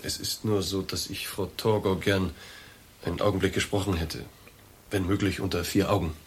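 Courteous boss, deep voice, formal, hesitant, apologetic, radio crime drama, faint noise. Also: male voice, fairly even intonation, normal speed. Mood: awkward, courteous. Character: polite, hesitant male boss making an awkward visit. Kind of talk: German radio crime play acting.

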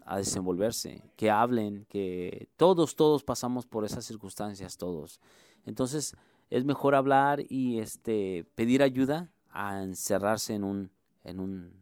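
A man from Mexico City with a Mexico City Spanish accent, a musician and music instructor answering radio interview questions in an earnest, halting way, often circling back to rephrase. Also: lowish voice, clear, resonant tone, dry recording, clean studio sound.

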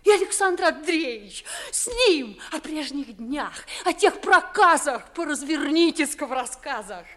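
A woman playing a pert, shrewd young maidservant, brisk and teasing, in a theatrical 1950s radio comedy. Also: high voice, lively intonation, exaggerated voice. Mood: sly, coaxing. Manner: brisk, teasing, sharp-tongued.

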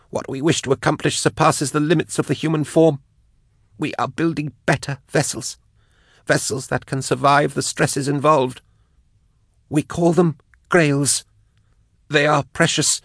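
A man voicing a tormented, devout woman captive in an audiobook, her words strained, halting and unwilling as she is forced to confess. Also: steady tone, fairly bright tone, dry recording, clean studio sound. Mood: fervent, strained. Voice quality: strained, high-pitched. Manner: tight, unwilling, halting, whining. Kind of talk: dramatised audiobook dialogue.

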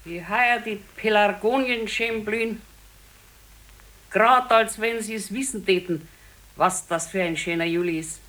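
A woman's voice: medium pitch, unhurried, fairly bright tone, natural voice, low-quality recording. Radio play: old Bavarian wife acted by a woman, plain-spoken and resigned, patiently consoling, naturalistic delivery. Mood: wistful. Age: older. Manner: simple, matter-of-fact, resigned. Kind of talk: naturalistic 1970s radio drama acting.

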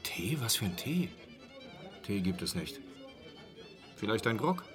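An actor playing a brusque, grumbling and brazenly unbothered village innkeeper in a German radio drama, chatty and glib. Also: male voice, fairly low pitch, big pitch swings, measured pace, fairly bright tone, soft background music. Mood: glib, obliging.

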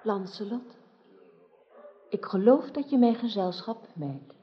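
A lovelorn queen in a radio drama, hurt and pleading. Her voice moves widely in pitch and feeling, on a slightly dull recording. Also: expressive, slow pace. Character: young queen, wounded, pleading, possessive in love.

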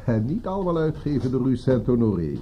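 An actor playing a smooth, commanding boss in a radio play, formal and insinuating, with veiled menace. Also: male voice, mid-range voice, big pitch swings, fairly quick, natural voice. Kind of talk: radio drama dialogue. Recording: muffled, noisy radio play recording.

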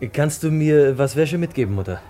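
A man's voice: on the high side, lively intonation, normal speed, warm tone, lightly acted. An actor playing a hunted young man who is evasive and reassures his mother, in a German radio play. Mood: forced cheer. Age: young adult.

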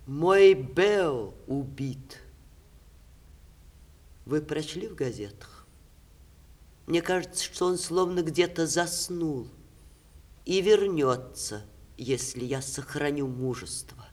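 Proud ageing stage actress, theatrical. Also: female voice, deep voice, heavily acted. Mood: grieving. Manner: theatrical, expressive, measured diction. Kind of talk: 1960s Russian theatre radio play acting.

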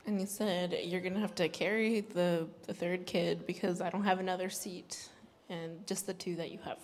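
A woman's voice: on the low side, steady tone, a little slow. A woman interpreting a sermon into English, relaying the pastor's words steadily. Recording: hall reverb.

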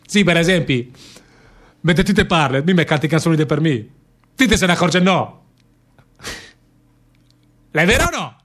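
A man's voice: fairly high pitch, lively intonation, moderate pace, long pauses, a bit bright. An actor playing a naive, stubborn working man with a Lombard accent, telling things in rambling, repetitive dialect in a theatre drama. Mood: wistful, animated. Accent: northern Italian, Lombard. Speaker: stage actor in a radio theatre play.

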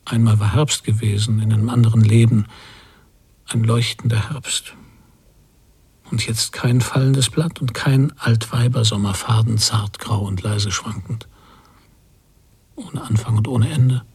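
A man playing a melancholy post-war emigrant father in a radio drama, brooding aloud in fragments with a husky, gravelly voice. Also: on the low side, steady tone, darkish tone, full character voice. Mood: melancholy, forlorn. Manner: slow-building, brooding reflection in verbless fragments. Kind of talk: literary radio drama monologue.

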